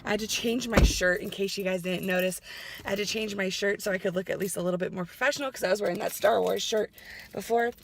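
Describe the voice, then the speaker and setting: A woman teacher vlogging casually, chatty and upbeat with rambling asides, her voice hoarse as it comes back from being lost. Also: mid-range voice, fairly even intonation, quick pace, a little hiss or hum. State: hoarse, recovering voice. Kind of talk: casual vlog.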